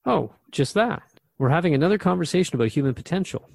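A man's voice: on the high side, lively intonation, quick pace, creaky, darkish tone, no echo. A man playing a thoughtful, curious radio host in a radio drama, questioning a spirit guide in a reflective, conversational way. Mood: wry.

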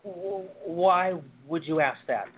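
A man acting in a radio play as a despondent young man with a husky voice, hesitant and defensive, sometimes stammering. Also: high voice, slow pace, a little hiss or hum. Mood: defensive, startled. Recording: tinny, phone-like narrow-band audio.

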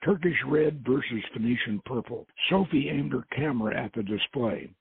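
A man hosting and reading a novel aloud in an informal, halting way, repeating words as he goes. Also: medium pitch, lively intonation, gravelly, husky, no room sound. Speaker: host, reader. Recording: muffled narrowband radio-like audio.

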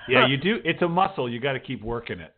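A man hosts a casual interview show in an informal, encouraging manner. His voice sits at a medium pitch and comes through narrow, like a telephone call. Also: expressive, fast. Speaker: talk show host, writing teacher. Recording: thin phone-like radio call audio.

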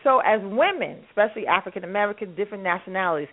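A woman's voice: medium pitch, animated, racing, slight background noise. Woman, radio host, from Harlem, chatty, laughs often, tinny phone line. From Harlem, New York. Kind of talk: call-in radio panel talk. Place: phone call. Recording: narrow phone-line sound.

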